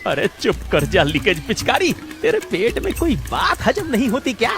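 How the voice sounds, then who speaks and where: Boisterous cartoon villager played by a man: high, swooping, folksy and drawn-out, with music underneath. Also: huge pitch swings, vocal fry, full character voice. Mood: irritated, threatening. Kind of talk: cartoon voice acting.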